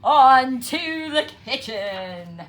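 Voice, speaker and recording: A woman's voice: sits high, expressive, very slow, faint music behind, pitched above the performer's own voice, heavily acted. Woman playing a sly, greedy male fox villain. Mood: triumphant. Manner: gloating, scheming, chuckling over victims.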